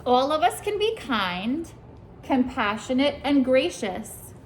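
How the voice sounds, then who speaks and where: A higher-pitched woman reading a storybook to a class of young children in a classroom, with a faint hiss under her voice. She stops often to ask questions and answer the kids. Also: big pitch swings, slow pace. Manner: asks the children questions and praises their answers. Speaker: preschool teacher. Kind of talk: reading a picture book aloud to a class.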